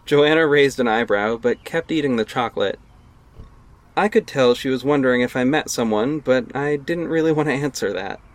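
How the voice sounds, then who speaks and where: A man's voice: medium pitch, normal speed. Wry young man narrating a drama. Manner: wry, casual, conversational narration. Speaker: narrator and lead actor. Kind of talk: first-person audio drama narration. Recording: music bed underneath.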